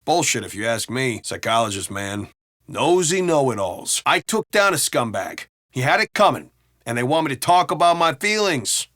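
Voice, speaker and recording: An actor playing a foul-mouthed, sardonic and excitable American city cop in a video game. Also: male voice, medium pitch, moderate pace, dry recording, studio quality. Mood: grumbling. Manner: animated, sardonic, profane cop banter. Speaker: video game police officer. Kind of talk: game voice lines, banter and barks.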